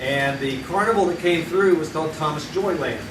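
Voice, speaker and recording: A man's voice: a little high, brisk, natural voice. A man playing a folksy storyteller. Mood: nostalgic. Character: grown man telling a childhood story to friends. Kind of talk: live radio play on stage. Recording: echoey stage recording from far off, with audience noise.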